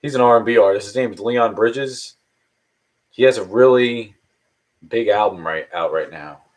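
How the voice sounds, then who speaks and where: Hesitant man in his thirties, low voice. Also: moderate pace. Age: 30s. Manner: rambling, hesitant, with repeated restarts and fillers. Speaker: live stream host answering viewer questions. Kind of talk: casual chat.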